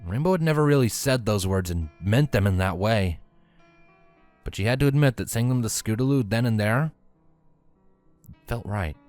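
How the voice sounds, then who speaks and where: A man's voice: on the low side, lively intonation, moderate pace, pauses often, a little mellow, dry recording. A man reading scripted story narration as the narrator, close on the microphone. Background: background music.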